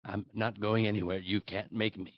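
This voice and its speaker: A man's voice: fairly low pitch, little pitch movement, moderate pace, no room sound, dull audio, lightly acted. A man playing a pompous, defensive older politician in a comedic table read, blustering and self-justifying. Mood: indignant.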